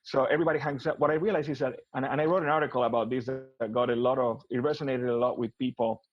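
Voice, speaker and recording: A man's voice: medium pitch, fairly even intonation, fast talker, dull audio. A man from Argentina with an Argentine Spanish accent, talking as an interview guest about his career, with many restarts and repeated words. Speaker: guest, business consultant. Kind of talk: casual interview conversation.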